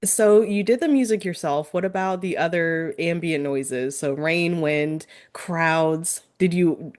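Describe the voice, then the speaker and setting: A woman hosting an interview, asking curious, drawn-out follow-up questions. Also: on the low side, darkish tone. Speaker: interview host.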